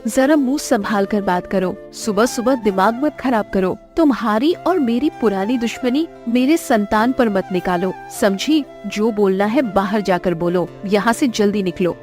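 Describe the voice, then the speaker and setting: A woman's voice: mid-range voice, normal speed, hardly any pauses, a little mellow, faint music behind, close to an everyday voice. A woman playing a poor fisherman's wife, a tender and fiercely protective mother, with emphatic delivery in a cartoon story. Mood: angry, defiant. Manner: emphatic, pleading, scolding. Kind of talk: Hindi cartoon story acting.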